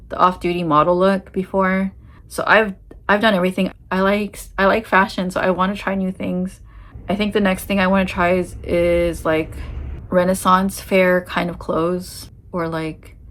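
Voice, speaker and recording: A woman's voice: fairly low pitch, a little hiss or hum. A woman in her thirties from the United States, answering viewer questions in a casual, rambling chat full of fillers and restarts. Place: her home in Japan.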